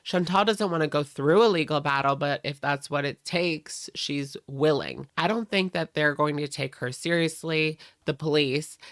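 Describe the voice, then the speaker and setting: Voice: medium pitch, fairly bright tone, no echo, clean studio sound. An internet drama channel host giving casual gossip commentary and chatting directly to viewers.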